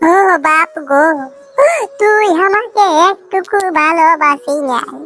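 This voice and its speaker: A man's voice: very high-pitched, slow pace, bright, forceful tone, soft background music, full character voice. A man voicing a demanding, wheedling young boy in a comedy cartoon, with a Purulia Bengali accent. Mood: tearful, threatening. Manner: wheedling, insistent, repetitive. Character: whiny, demanding young boy begging and threatening tantrums.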